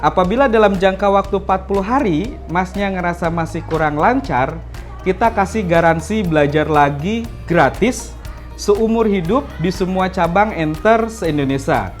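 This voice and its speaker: A woman's voice: on the low side, normal speed, faint music behind. A woman speaking in a casual, explanatory way as a school representative in a promotional interview. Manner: casual, explanatory, frequent "nah" and "gitu kan".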